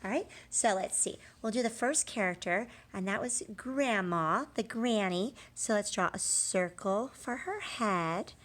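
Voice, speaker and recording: A woman's voice: mid-range voice, lively intonation, fairly bright tone. Woman teacher guiding young children. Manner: prompts with questions, pauses, affirms answers, drawn-out emphasis on key words. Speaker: early literacy teacher. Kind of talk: read-aloud lesson for young children.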